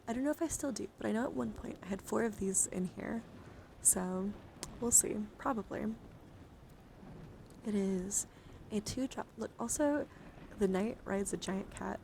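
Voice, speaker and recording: A young woman livestreaming casual ASMR card chat, with a breathy voice that often slips into whispers and nerdy, coaxing, rambling asides. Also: medium pitch, normal speed, long pauses. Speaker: livestreamer showing her card deck. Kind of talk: casual ASMR hand-cam chat with viewers. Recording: noticeable background noise.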